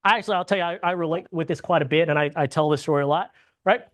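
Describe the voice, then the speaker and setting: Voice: mid-range voice, very fast. A Bible class teacher from the United States, leading a conversational lesson, often asking "right?" and restarting sentences. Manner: checks in with frequent tag questions; restarts and repeats words mid-sentence.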